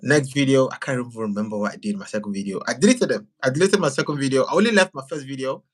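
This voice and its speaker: Male video creator as live-chat guest. Mid-range voice, casual and animated, doubles up phrases for emphasis. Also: mid-range voice, rapid-fire.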